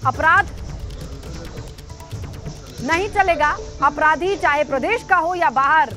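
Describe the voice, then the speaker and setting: High-pitched young woman news anchor. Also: slow pace, bright, forceful tone. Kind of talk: live breaking news presentation. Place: television news studio. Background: faint news music.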